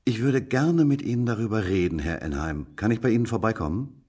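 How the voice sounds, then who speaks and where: An actor playing a brisk, persistent police detective in a German radio drama, with a businesslike, probing manner. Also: male voice, fairly low pitch, animated, darkish tone, slightly rough.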